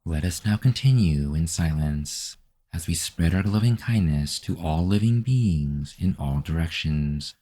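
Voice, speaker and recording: A man narrating a guided meditation as the guide, in a measured, instructional manner. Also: low-pitched, lively intonation, dark tone.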